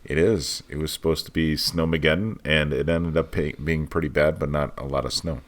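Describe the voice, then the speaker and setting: A man co-hosts a casual podcast, laid-back and dry, often answering with short agreeing replies. His voice is extremely deep and low. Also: fairly quick. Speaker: podcast co-host. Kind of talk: casual chat.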